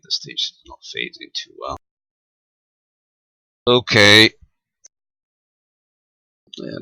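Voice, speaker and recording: A man giving a casual, unscripted technical demonstration as a radio hobbyist, talking haltingly and half muttering to himself as he works. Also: low voice, creaky, sharp tone, no room sound, poor audio. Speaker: amateur radio operator demonstrating equipment.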